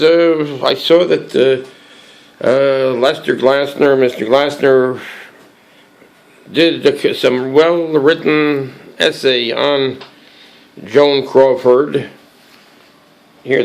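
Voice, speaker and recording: A hoarse man with a cold hosting a casual show-and-tell, reading aloud from a book with many halting restarts and fillers. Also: medium pitch, rough recording. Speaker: collectibles channel host.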